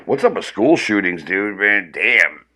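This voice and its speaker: A man's voice: on the low side, animated, a little slow, sharp tone, slightly rough. A man with a powerful voice, ranting casually and profanely with lots of restarts during a comedic livestream. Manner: profane, rambling, restarts often.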